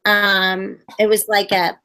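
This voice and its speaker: A middle-aged woman puppeteer telling stories in a casual interview, bubbly and animated, acting out quotes in high voices. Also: slow.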